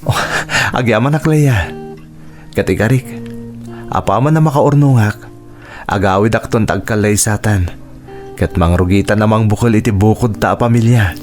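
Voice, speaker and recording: A man's voice: lowish voice, moderate pace, pauses often, a little mellow, soft background music, close to an everyday voice. A man playing an earnest, dutiful young son and sweetheart in a radio drama, speaking sincerely. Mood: tender, hopeful.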